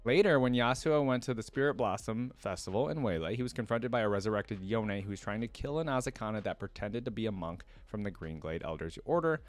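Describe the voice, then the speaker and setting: A man hosting a reaction video, reading lore aloud and breaking in with informal, off-the-cuff commentary as he thinks out loud. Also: on the low side, expressive, brisk, few pauses, no echo. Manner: informal, thinks aloud between read passages.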